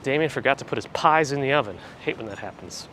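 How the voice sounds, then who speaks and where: Man, live-stream host, casual chat, slightly gravelly, phlegmy, walking outdoors, loud street traffic behind. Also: mid-range voice, fast. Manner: relaxed, chatty, dry asides. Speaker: livestream host. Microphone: handheld gimbal camera rig with recorder. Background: traffic and city ambience.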